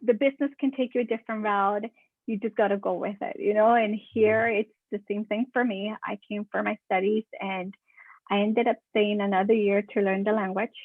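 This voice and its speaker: A woman's voice: mid-range voice, steady tone, normal speed, dry recording. A woman from California, a marketing consultant answering podcast questions in a chatty way, repeating words for emphasis. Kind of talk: casual interview answers. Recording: dry, tinny phone-like call audio.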